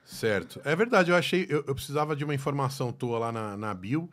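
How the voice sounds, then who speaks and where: A man's voice: mid-range voice, animated, fairly quick, a bit bright. Podcast host guy, swoops up and down a lot, joking around, trips over his words. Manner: playful and teasing, stumbling restarts. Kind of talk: casual interview chat.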